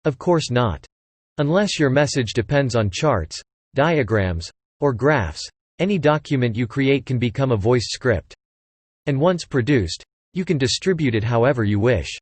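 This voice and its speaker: Male American promo narrator, synthetic AI voice, mid pitch, very dry recording. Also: animated, unhurried, dry recording. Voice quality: synthetic text-to-speech voice. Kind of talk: scripted promotional dialogue.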